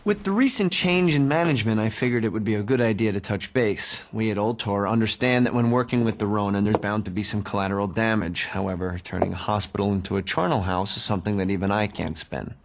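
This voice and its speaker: Man playing a smooth low-voiced corporate executive. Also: fast talker, hardly any pauses, a little hiss or hum, natural voice. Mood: businesslike. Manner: polished, formal, sardonic. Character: smooth, condescending male corporate executive, coolly businesslike. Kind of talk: video game cutscene acting.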